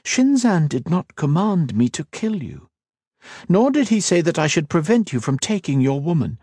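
A calm, coaxing elf woman who is secretly a monster, as a male narrator puts her on. The voice sits high for him and moves through a lively range, softly measured and persuasive. It is a dry, close storybook read. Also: breathy edge, no echo.

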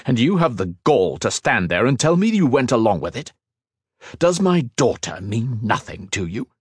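A man playing a stern, protective king and father in an audiobook, speaking with deliberate authority. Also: mid-range voice, big pitch swings, slightly rough, dry recording. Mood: stern, accusing.

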